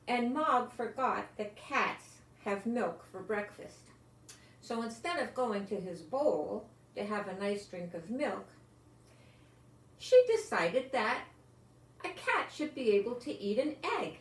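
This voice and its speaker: A woman's voice: medium pitch, big pitch swings, takes it slowly. An older woman reading a children's picture book aloud expressively, lingering on words and adding chatty asides as if to small children. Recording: roomy home recording with faint noise.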